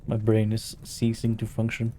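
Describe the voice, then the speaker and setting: A man's voice: monotone, normal speed, mellow, slight background noise. A tired Norwegian man streaming a game, chatting casually and hesitantly with his viewers. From Norway. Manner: tired, hesitant, low-key. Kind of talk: casual chat while gaming.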